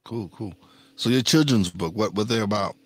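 A man's voice: fairly low pitch, normal speed, husky, dry recording. A man hosting a casual podcast interview, speaking in a loose, eager way and repeating his words. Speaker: podcast host.

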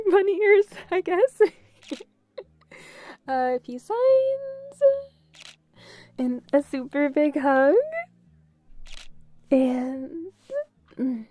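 A woman playing a bubbly, playful, affectionate young girlfriend in an intimate roleplay audio, giggly and given to quick repeated phrases. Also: sits high, heavily acted. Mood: giddy, affectionate. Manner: giggly, breaks into short excited repeats.

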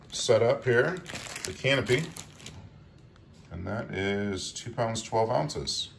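A man in his thirties talks through camping gear in a loose, chatty way, with plenty of fillers. His voice sits very low. He is picked up from some distance on a camera mic in the woods, with faint outdoor noise behind him. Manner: relaxed, filler-heavy, chatty. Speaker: channel host reviewing gear. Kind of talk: casual product review.

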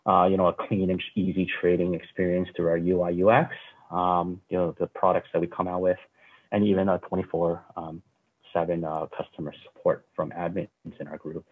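A man in his thirties giving an unscripted keynote from home over a video call, speaking haltingly with many fillers and restarts. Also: low voice, level tone. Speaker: company founder giving a keynote. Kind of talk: casual unscripted talk. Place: room at home. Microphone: earbuds on a video call. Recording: tinny phone-like call audio.

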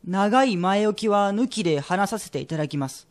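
A man acts as a greying shop clerk in a period radio drama. His voice is high for a man, and he speaks with formal, deferential courtesy. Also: expressive, normal speed. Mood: humble, formal.

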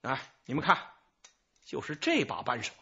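An actor playing a chatty, evasive middle-aged informant in a radio drama, rambling, sighing and excusing himself. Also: male voice, medium pitch, huge pitch swings, moderate pace, creaky, sharp tone, highs cut off, slight background noise, heavily acted. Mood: animated, confiding. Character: garrulous, evasive male small-time informant, self-excusing.

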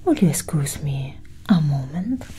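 A young woman whispering breathily and deliberately in a soft ASMR roleplay as a calm alien scientist, close to the mic. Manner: slow, deliberate, hushed. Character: calm, clinical female alien scientist examining a human. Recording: steady low engine-like hum.

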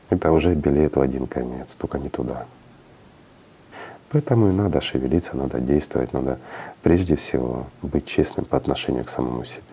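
Middle-aged man, very deep and dark, earnest preaching tone. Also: vocal fry, husky. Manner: earnest, preaching, rhetorical questions. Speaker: spiritual speaker interviewed in studio. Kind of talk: reflective studio talk.

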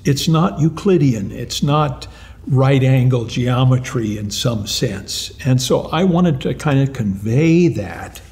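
An older man with a gravelly, creaky voice answers interview questions in a cozy lounge. He talks in an animated way and often restarts or repeats words. His pitch sits in the middle range. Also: slow pace. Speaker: retired mathematics professor. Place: lounge-like room with armchairs and lamps, indoors.

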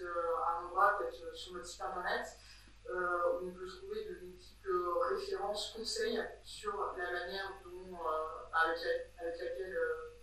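A woman moderator relays audience questions with a bright, forceful tone and plenty of fillers. She sounds distant, as if far from the mic. Her voice rings with heavy echo. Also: lowish voice. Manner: hesitant, many fillers.

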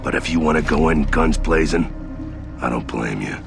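Gruff stoic veteran soldier, video game, rock-bottom pitch, thick gravel, terse. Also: male voice, moderate pace. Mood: solemn. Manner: terse, stolid. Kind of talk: dramatic video game acting. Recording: music behind the voice.

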